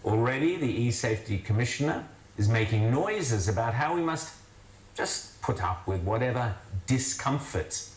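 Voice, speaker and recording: A man's voice: fairly low pitch, echoey room, poor audio. A man from Australia delivering wry, scornful news commentary. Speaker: commentator host.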